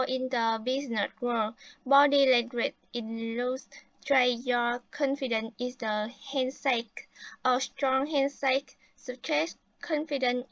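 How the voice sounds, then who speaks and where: A teenage girl with a Vietnamese accent acts out a polite guest on a talk show. Her voice sits high and moves slowly through rehearsed lines, with small hesitant fillers. The recording is dry and slightly muffled. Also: fairly bright tone, no room sound. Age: late teens. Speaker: student playing a guest from Thailand. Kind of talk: scripted classroom role-play.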